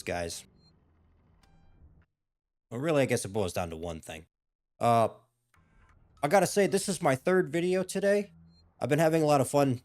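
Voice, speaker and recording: A man's voice: medium pitch, a little mellow. A man who is a little ill and losing his voice gives rambling, hesitant, casual commentary on his gameplay. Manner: rambling, hesitant, with frequent restarts and fillers. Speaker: gaming YouTuber.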